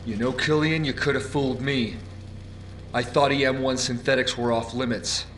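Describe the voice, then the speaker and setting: An actor playing a defiant, embattled military commander in a sci-fi drama, speaking tersely. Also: male voice, mid-range voice, steady tone, moderate pace, slight background noise, lightly acted. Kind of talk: cinematic sci-fi drama acting. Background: very loud music.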